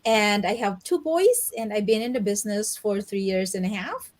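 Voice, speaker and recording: A woman's voice: medium pitch, moderate pace. A woman from the Philippines, a cheerful guest in a live online business chat. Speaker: panel guest.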